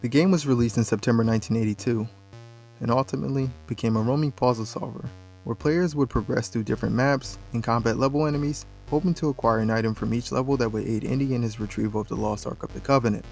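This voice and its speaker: A man's voice: medium pitch, fairly even intonation, quick pace, gravelly, darkish tone, soft background music, low-quality recording. A man reads scripted documentary narration for a video essay, speaking as a voice-over.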